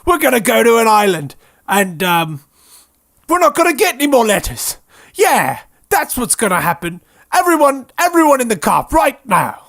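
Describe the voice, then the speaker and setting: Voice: medium pitch, sing-song, moderate pace, vocal fry, a bit bright, no echo, studio quality, voice pushed up. A performer voicing an irritable middle-aged uncle who blusters and scolds. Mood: exasperated, blustering.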